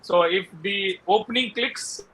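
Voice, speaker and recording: High, bright-voiced South Indian man, halting delivery. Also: a little hiss or hum. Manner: halting, repeats "you know". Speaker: cricket panelist. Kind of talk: casual panel discussion.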